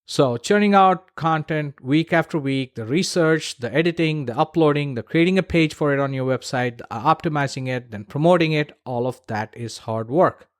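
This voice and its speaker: A man with a slightly high-pitched voice talks as a solo podcast host, in a dry, close recording. He explains practical points conversationally, restating key words for emphasis. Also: normal speed, hardly any pauses, dry recording. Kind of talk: solo podcast talk giving practical advice.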